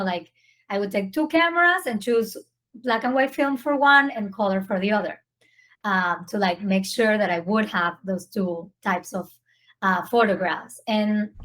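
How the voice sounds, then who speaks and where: Woman in her 30s from Venezuela, podcast guest, earnest storyteller. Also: mid-range voice. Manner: warm, earnest, with small slips in phrasing. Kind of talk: casual interview storytelling.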